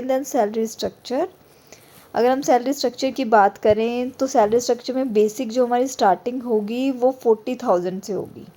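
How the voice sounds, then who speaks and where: Slow, mellow-voiced woman explaining. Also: a little high. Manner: slow, measured, reads figures step by step. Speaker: exam prep presenter. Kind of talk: explainer lesson mixing Hindi and English.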